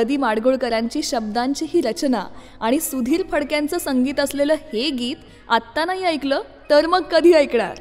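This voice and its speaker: Woman, radio jockey, high pitch, expressive chatty song introductions, reverberant sound, music behind. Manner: expressive storytelling, addresses listeners directly. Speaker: radio show host, RJ.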